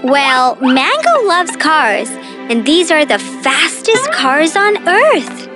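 A curious young girl from a children's cartoon. The voice is very high and swings widely in pitch. She speaks at a slow, cheerful pace. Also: big pitch swings, over music, exaggerated voice. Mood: bright.

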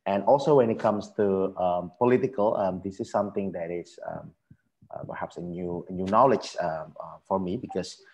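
An Indonesian man speaks in a low voice with plenty of fry. He answers as an academic on a video call, politely and very hesitantly, circling back over his phrases. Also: darkish tone, muffled recording. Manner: hesitant, halting, repetitive, polite. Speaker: academic panelist. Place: small room at home, video call. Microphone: headset.

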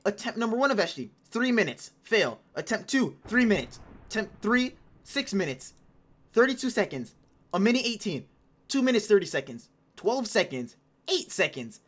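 A woman in her late teens hosting casual gameplay commentary, chatty and excitable, rambling between topics, her voice a little hoarse. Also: medium pitch. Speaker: gaming channel host.

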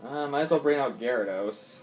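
A man's voice: medium pitch, brisk, darkish tone, poor audio. A man giving casual, swearing gameplay commentary, thinking aloud with lots of ums as he plays. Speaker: gaming YouTuber. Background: faint game music.

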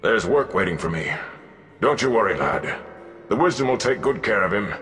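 Man acting a weary, deep-voiced village blacksmith. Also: lively intonation, normal speed, a bit bright. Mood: firm, reassuring. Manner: plain, folksy, resolute. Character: weary, stoic heavy-set village blacksmith, steadfast and reassuring. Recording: long reverb, music behind.

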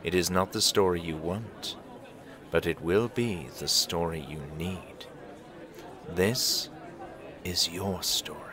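Deep, unhurried mysterious vagrant storyteller. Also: male voice, pushed and bright, some chatter behind, full character voice. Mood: grave, mysterious. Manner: deliberate, theatrical, teasing. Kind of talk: audio drama acting.

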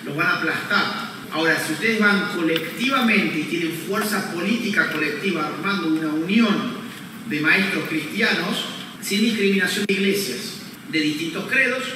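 A man's voice: sits high, moderate pace, background noise. A man, giving an emphatic public lecture and firing off rhetorical questions that he answers himself. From Argentina. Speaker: conference speaker. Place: large church hall used as a lecture venue. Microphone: handheld mic, recorded by a distant camera. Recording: echoey church hall heard from a distance.

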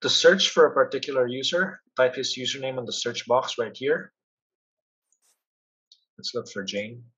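Husky man narrating a software tutorial. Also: mid-range voice, animated, normal speed, pauses often, muffled recording. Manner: step-by-step explanatory. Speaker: software demo presenter. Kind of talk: instructional screen-share narration.

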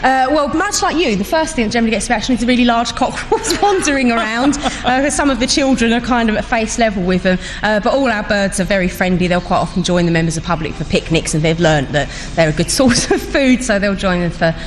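Fast-talking Englishwoman on a farm. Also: fairly high pitch. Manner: quick, chatty, explaining with light laughs. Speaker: farm worker interviewed on radio. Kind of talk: radio interview answers. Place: outdoors on a farm. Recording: outdoor field recording with background noise.

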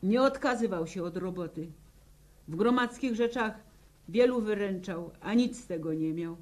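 An actress playing a weary peasant widow with a rural Polish accent, telling her story haltingly in a 1960s radio drama. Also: mid-range voice, a little mellow, lightly acted. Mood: sorrowful. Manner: slow, halting storytelling in plain folk speech. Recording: old 1960 radio drama recording.

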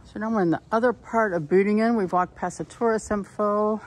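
A woman's voice: mid-range voice, steady tone, brisk, slight background noise. A woman narrating a walking tour outdoors, chatting casually and pointing out the sights as she films. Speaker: tour guide. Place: outdoors on old town streets.

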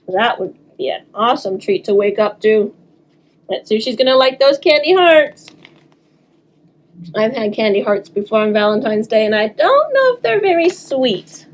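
A woman in her thirties reading aloud expressively for children's story time, animated and talking directly to young listeners. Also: a little high, rough recording. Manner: animated, talks to young listeners, draws words out. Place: small indoor room.

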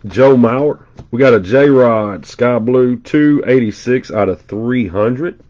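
A man's voice: mid-range voice, slow, a little mellow, lo-fi sound. A congested man doing casual live commentary as he opens trading card packs, rattling off player names with chatty asides. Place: indoor room at a table.

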